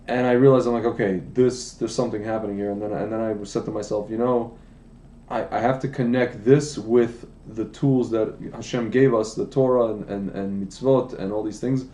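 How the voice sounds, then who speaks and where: Rambling animated man in echoey room. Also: lowish voice, normal speed. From United States. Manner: rambling, animated, with frequent restarts and asides. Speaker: wine business founder, podcast guest. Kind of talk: casual conversational storytelling. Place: indoor room, livestream podcast.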